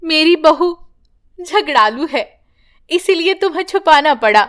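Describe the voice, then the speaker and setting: A woman's voice: sits high, animated, a little slow, fairly bright tone, voice pushed up. A woman voicing an old grandmother who complains and pleads anxiously. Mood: lamenting. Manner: pleading, lamenting.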